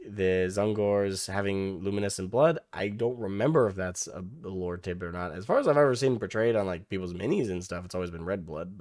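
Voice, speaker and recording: A young man hosting a reaction video, losing his voice and hoarse, rambling casually with many restarts and the odd swear. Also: deep voice, darkish tone, no echo, clean studio sound.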